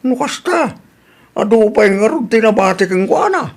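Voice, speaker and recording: An elderly father acted by a man with a somewhat high voice for a man. He is caring and concerned, talking in the manner of an Ilocano radio drama. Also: lively intonation, moderate pace, gravelly, breathy edge, full character voice.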